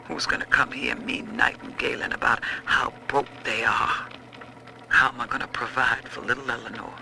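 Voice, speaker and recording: Troubled father and bar owner for game audio diary; very forceful, creaky tone, slight noise. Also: medium pitch, little pitch movement, normal speed. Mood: worried, resigned. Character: anxious father and failing nightclub owner. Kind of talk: dramatic game audio-log monologue. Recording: faintly noisy audio-log recording.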